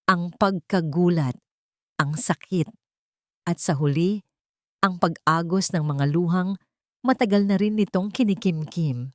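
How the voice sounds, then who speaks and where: A woman narrating a scripted audiobook drama in a measured, emotional way. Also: lowish voice, darkish tone, no room sound, clean studio sound.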